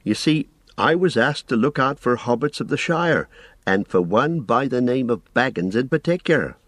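A man voicing a fussy, forgetful old innkeeper who rambles in a flustered, drawn-out way. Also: medium pitch, expressive, fairly bright tone, dry recording, voice pushed up. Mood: flustered rambling.

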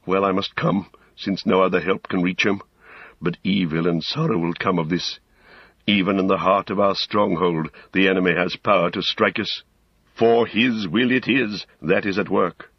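A grave old wizard, performed by a man reading a story. His voice is very low and rough with huskiness, speaking with weighty, urgent authority. The recording is dry and slightly dull. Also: fairly even intonation, normal speed, dry recording. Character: grave old male wizard, stern and commanding.

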